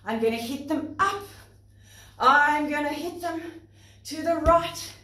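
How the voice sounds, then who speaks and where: An Australian woman with a fairly high voice talks to young children and their parents. Her delivery is expressive and instructive, like a playgroup session. She is recorded in a very echoey room, some way from the microphone. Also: slow, distant mic. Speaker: playgroup facilitator and presenter.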